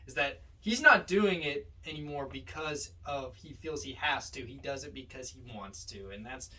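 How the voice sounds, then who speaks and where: A man's voice: medium pitch, animated, normal speed, pushed and bright, a little hiss or hum. A man co-hosting a podcast in a casual film discussion, often posing rhetorical questions, recorded at some distance from the mic. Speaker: podcast co-host.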